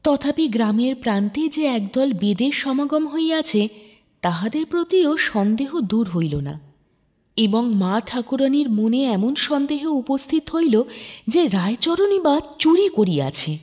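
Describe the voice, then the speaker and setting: Lady reading a story audiobook style, really slow n dramatic, highish voice. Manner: expressive, measured storytelling. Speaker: story narrator. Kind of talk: dramatic literary audiobook narration.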